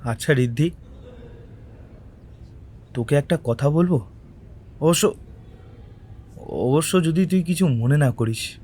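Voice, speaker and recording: High-pitched, anguished accused man, halting male actor. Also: a little mellow. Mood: hesitant. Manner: halting, repeats words nervously. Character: distressed young man accused of murder, hesitant, pleading. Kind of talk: audio story drama acting.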